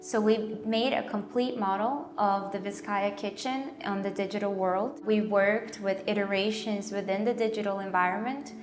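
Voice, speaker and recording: A young adult woman from Brazil, an architectural designer and artist, explaining her work calmly in a documentary interview. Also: medium pitch, background noise. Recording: roomy with music bed.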